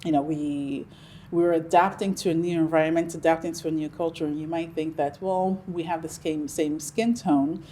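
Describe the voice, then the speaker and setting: Low-voiced Nigerian-born woman, measured. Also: steady tone, warm tone, slight background noise. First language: Yoruba. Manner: reflective, measured, frequent ums and restarts. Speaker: interview guest. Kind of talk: conversational interview.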